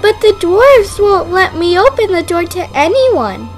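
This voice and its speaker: A woman voicing a sweet, naive young maiden princess, gentle and wide-eyed in a children's storybook tale. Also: very high voice, very animated, unhurried, faint music behind, heavily acted. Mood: delighted, naive. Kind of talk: children's storybook play with dolls.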